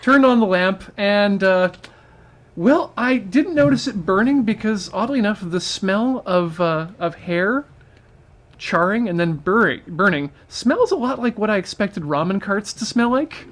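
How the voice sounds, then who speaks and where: High-pitched man telling casual stories. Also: lively intonation, a little slow, a bit bright. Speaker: storyteller on a charity livestream. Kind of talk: casual humorous storytelling.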